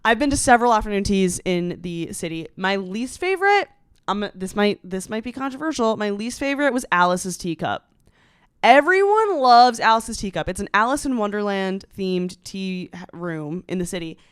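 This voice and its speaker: A woman's voice: mid-range voice, lively intonation, normal speed, smooth, dry recording, studio quality. A young American woman, a comedian, telling a story on a podcast in a casual, slangy, sarcastic way with dramatic emphasis.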